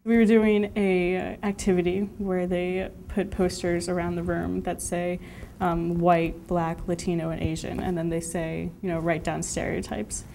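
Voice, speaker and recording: Woman teacher, gravelly flat voice, thinks out loud as she tells it. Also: fairly low pitch, measured pace, a little hiss or hum. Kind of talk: interview recollection.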